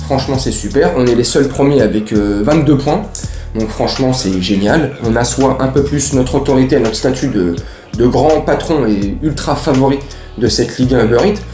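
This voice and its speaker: A man hosts a chatty football fan recap. His mellow, relaxed voice rings in a roomy space. He uses plenty of slang and speaks directly to the people watching. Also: mid-range voice, normal speed, faint music behind. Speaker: host of a football fan show. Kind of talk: casual match recap to camera. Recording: roomy, echoey room sound.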